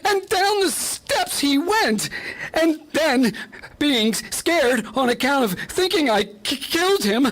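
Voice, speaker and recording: Timid, whimpering suspect , played by a woman, radio drama. High, halting, quavering delivery at a slow pace. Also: a bit bright, full character voice. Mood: nervous, quavering. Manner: quavering, hesitant, stammering. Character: frightened, whimpering men confessing to a detective. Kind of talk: radio play crime story acting.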